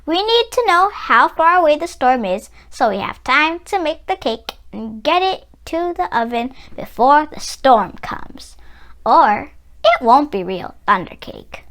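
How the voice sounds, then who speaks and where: Lady reader doing old farm granny from a kids book, folksy n coaxing, high voice, super animated. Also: a little slow, heavily acted. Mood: coaxing, instructive. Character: brisk, reassuring old Russian-born farm grandmother.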